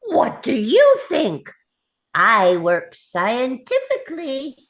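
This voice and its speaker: A tiny old male gnome scientist, acted by a woman, speaks in a high, swooping voice at an unhurried pace. He is vain, fussy and impatient, a self-important professor in a fantasy script reading. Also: huge pitch swings, muffled recording, full character voice. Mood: self-important, pompous. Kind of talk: animated table-read acting.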